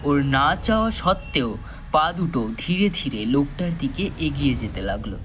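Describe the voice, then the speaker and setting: A man narrating a thriller audio story in a dramatic, suspenseful style with measured pauses. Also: mid-range voice, very animated, fairly bright tone, soft background music.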